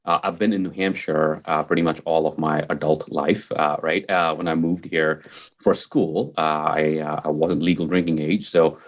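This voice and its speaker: A man speaks in an extremely deep register as an interview guest. He is earnest and positive, often repeating a word to stress it. The audio is a little dull and lo-fi. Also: little pitch movement, moderate pace. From Mumbai, India. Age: 40s. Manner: upbeat, earnest, emphatic repetition. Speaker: podcast guest, technology executive. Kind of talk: conversational interview answers.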